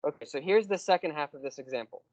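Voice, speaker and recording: A man teaching a university math class, explaining step by step and slowing down on key terms. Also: fairly high pitch, a little mellow, dry recording, lo-fi sound. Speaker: math lecturer. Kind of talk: classroom lecture.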